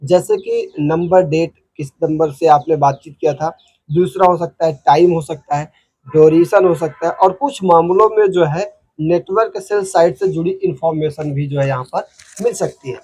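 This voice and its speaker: High-pitched, husky Indian man explaining. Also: moderate pace, a little mellow. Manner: repetitive, emphatic explaining with frequent filler phrases. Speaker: host of a legal explainer channel. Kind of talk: informal explainer talk to viewers.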